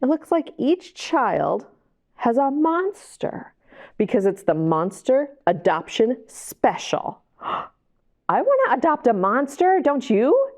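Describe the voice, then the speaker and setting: A woman hosting storytime, reading a picture book to young children in an animated way, with chatty questions and asides to the listeners. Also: sits high, slow pace. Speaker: library storytime host and reader.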